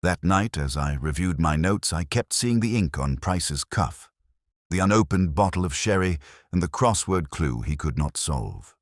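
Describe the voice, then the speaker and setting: Very low voiced guy narrating a detective novel, measured and reflective, pitch moves around a lot, dry close sound. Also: lively intonation, no echo. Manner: measured, reflective, literary delivery. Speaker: audiobook-style narrator of a detective story. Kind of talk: scripted first-person literary mystery narration.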